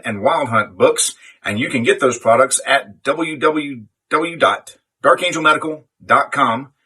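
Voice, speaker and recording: A man's voice: mid-range voice, pushed and bright, breathy edge. A man delivering a promotional commercial read as the owner of the company. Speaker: company owner in sponsor spot.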